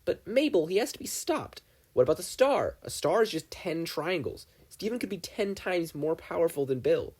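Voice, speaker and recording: A man voicing an anxious, excitable young teenage boy in an audiobook reading, urgent and pleading. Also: a little high, moderate pace, slightly rough, voice pushed up. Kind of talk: fan fiction audiobook reading.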